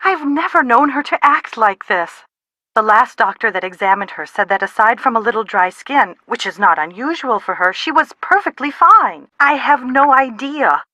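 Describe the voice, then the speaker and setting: A woman playing an anxious, sharp-tongued middle-aged mother in a video game, with a dry, dramatic manner. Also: on the high side, expressive, normal speed, no echo. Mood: worried, pleading. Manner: exasperated, dramatic, dry wit. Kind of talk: video game dialogue, phone conversation acting.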